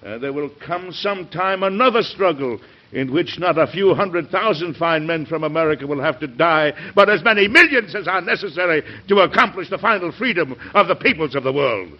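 An impassioned, idealistic weary President. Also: male voice, fairly high pitch, animated. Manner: oratorical, emphatic, deliberate dramatic pauses. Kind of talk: old-time radio drama acting. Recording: dull, hissy old radio recording.

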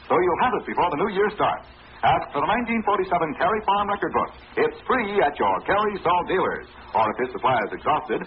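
Animated 1940s radio announcer, tinny sound. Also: male voice, a little high, a little hiss or hum. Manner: emphatic salesman delivery. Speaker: radio announcer and sponsor pitchman.

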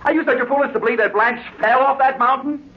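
Melodramatic tormented killer, old noisy radio. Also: male voice, very high voice, highs cut off. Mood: bitter, insistent. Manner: melodramatic, halting, intense. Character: obsessed, tormented murderous man, jealous and desperate. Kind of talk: 1940s radio horror acting. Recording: noisy, band-limited old radio recording.

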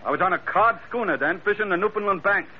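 An actor playing a jovial, rough-and-ready first mate, with folksy banter in the style of 1940s radio adventure drama. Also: male voice, sits high, fast talker, natural voice. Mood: rueful. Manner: folksy, colloquial, chuckling banter. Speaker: supporting actor, comic sidekick. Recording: dull old radio recording, very noisy.

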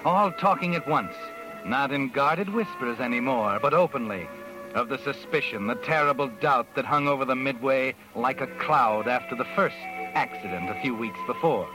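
Sardonic mystery storyteller played by a man, dramatic and wry, medium pitch, old radio broadcast with music behind. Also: expressive, normal speed, natural voice, rough recording. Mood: wry, ominous. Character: knowing, sardonic male mystery narrator. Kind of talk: 1940s radio drama narration.

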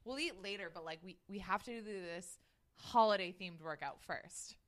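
A woman's voice: medium pitch, moderate pace, fairly bright tone, slight background noise. A woman co-hosting a podcast, chatting casually and teasingly, acting out little quoted exchanges as she goes. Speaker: podcast co-host.

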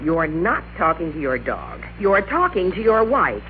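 Through the dull hum of an old radio recording, a middle-aged wife who nags her husband. Her pitch leaps about dramatically, in the emphatic manner of classic radio drama. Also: very animated, a little slow. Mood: indignant. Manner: scolding, emphatic. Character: nagging, indignant wife of a feckless husband.

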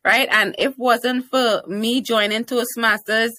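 Trinidadian lady guest on a podcast, bright voice, confident and playful, laughs a lot. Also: fairly high pitch, fairly even intonation, slow pace, dry recording. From Trinidad and Tobago. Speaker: podcast guest, TV host and speaker. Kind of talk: casual interview chat.

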